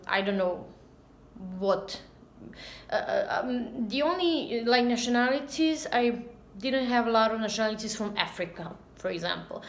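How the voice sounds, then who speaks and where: A woman in her thirties, a native Serbian speaker with a Serbian accent, chats casually and somewhat hesitantly to camera as a language teacher, recorded on a phone at arm's length. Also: medium pitch. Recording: echoey, roomy sound.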